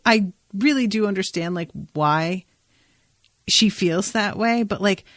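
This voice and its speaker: A woman's voice: mid-range voice, unhurried, no echo, clean studio sound. A woman hosting a podcast, talking casually and informally with playful, opinionated asides. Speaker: podcast host. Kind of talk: casual chatty podcast discussion.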